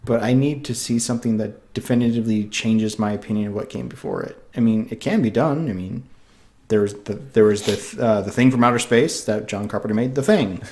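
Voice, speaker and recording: A man's voice: lowish voice, brisk, darkish tone. A man co-hosting a casual podcast, speaking hesitantly with fillers and laughing easily. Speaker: podcast co-host.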